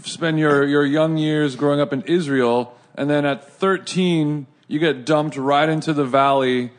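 A man hosting a casual podcast chat, relaxed and steering the talk with questions. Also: a little high, measured pace. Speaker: podcast host.

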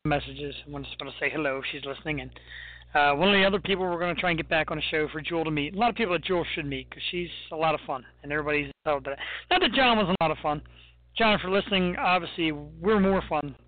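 A man co-hosting a radio talk show, bantering and teasing his co-host in a joking, animated way. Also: a little high, very fast, no room sound. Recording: dry, phone-like narrow sound.

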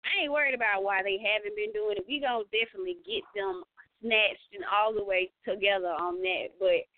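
A woman's voice: medium pitch, a little fast, no room sound. A woman hosting a radio talk show, chatting casually in slang and thinking aloud with lots of fillers. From United States. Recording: tinny phone-line sound.

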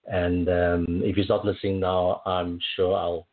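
Very deep-voiced man on a phone line. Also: level tone, unhurried. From South Africa. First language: Afrikaans. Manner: hesitant, many fillers and restarts, laughs often. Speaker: poet guest. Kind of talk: conversational interview and poetry reading.

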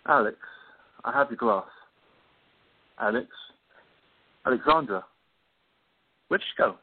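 A man's voice: mid-range voice, animated, takes it slowly, husky, dry recording, exaggerated voice. A man playing a devoted fiancé who can get testy, in an amateur radio play. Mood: gentle. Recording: tinny, phone-like sound.

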